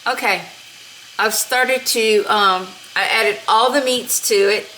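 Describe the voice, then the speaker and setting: A woman's voice: mid-range voice, pushed and bright, slight background noise, poor audio. Homey woman cooking over a sizzling pan. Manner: unhurried, homey, talks while cooking with many fillers. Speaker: cooking channel host. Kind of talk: casual cooking demonstration. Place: home kitchen. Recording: home kitchen with sizzling pan. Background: frying and sizzling.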